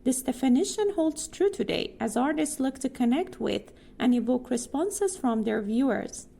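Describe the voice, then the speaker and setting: A woman with a high, softly mellow voice reads a prepared text aloud. It sounds like a scripted exam practice passage. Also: lively intonation, moderate pace, vocal fry.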